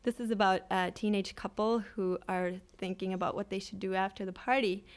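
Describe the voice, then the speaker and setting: Lady on radio, lively, explains stuff, restarts a bit, studio. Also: mid-range voice, brisk, clean tone. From Finland. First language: Swedish. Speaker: guest singer. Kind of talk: radio interview conversation.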